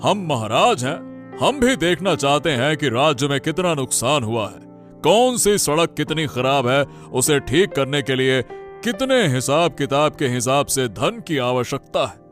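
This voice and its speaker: Man playing a commanding, higher-pitched cartoon king. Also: very animated, unhurried, faint music behind. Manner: regal, commanding, repeats himself. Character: self-important but dutiful male king. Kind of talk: Hindi cartoon story voice acting.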